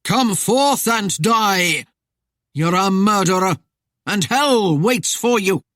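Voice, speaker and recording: A woman voicing a menacing male rider posing as vengeful Death, with an eerie, droning, booming voice and slow, archaic speech. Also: animated, fairly bright tone, no echo, clean studio sound. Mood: menacing, drawn-out.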